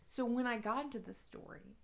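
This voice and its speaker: A woman's voice: on the high side, a little fast, creaky, a little hiss or hum. A woman talking casually and emphatically to viewers as a book vlogger, in an informal, rambling, self-deprecating way. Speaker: book review vlogger and podcast co-host. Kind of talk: casual chatty video monologue to viewers.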